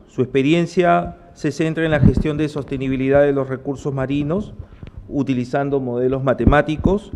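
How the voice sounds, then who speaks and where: A man's voice: mid-range voice, background noise. A man moderating a technical talk, reading out a speaker's background with occasional personal asides. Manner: reads a bio aloud with small asides. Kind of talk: introducing a speaker.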